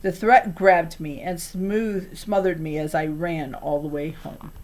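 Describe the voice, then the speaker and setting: A woman reading a children's novel aloud in an expressive storytelling style. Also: deep voice, unhurried.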